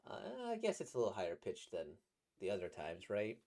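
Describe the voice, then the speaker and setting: Slightly squeaky man rambling playfully. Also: huge pitch swings, fairly quick, dark tone. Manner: rambling, playful, laughs at his own jokes. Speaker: voice actor reacting to comics. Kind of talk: casual reaction commentary.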